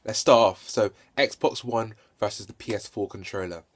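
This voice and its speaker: A man with a fairly low voice talks through a casual hands-on game review. He stutters at times, repeats words and corrects himself as he goes. He sounds keen on his subject, speaking off the cuff into a handheld phone. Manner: halting, self-correcting and repetitive. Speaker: video game reviewer and vlogger.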